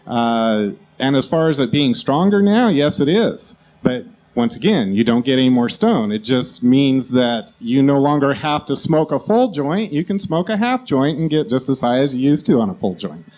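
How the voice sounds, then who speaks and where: Male panelist, medium pitch, very animated intonation, informal explainer. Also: moderate pace. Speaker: panel speaker on a radio talk show.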